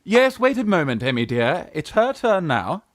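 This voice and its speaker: A woman with a British accent playing a flustered young gentleman who poses as a rich old aunt in a theatrical Victorian farce. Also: on the low side, very animated, moderate pace, no echo, studio quality. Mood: coy, flustered. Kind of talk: Victorian stage farce, radio play acting.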